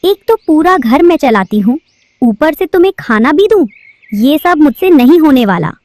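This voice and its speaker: Woman playing a bossy greedy crow teacher, scolding, slightly high, dry sound. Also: sing-song, normal speed, a little mellow, dry recording. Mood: snapping. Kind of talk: cartoon voice acting.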